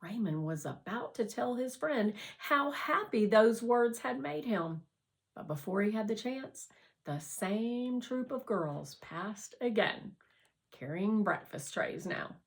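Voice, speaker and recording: A woman's voice: on the high side, lively intonation, slow pace. A woman reading a children's novel aloud as scripted narration. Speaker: audiobook reader.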